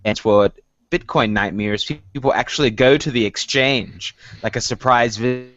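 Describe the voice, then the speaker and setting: A man on a casual web talk show, eagerly pitching ideas and trailing off mid-thought. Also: moderate pace, a bit bright, dull audio. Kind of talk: casual live-stream chat.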